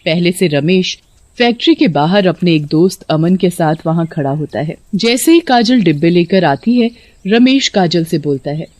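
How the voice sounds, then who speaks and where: Low-pitched woman narrating a story. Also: lively intonation, normal speed, darkish tone, no room sound.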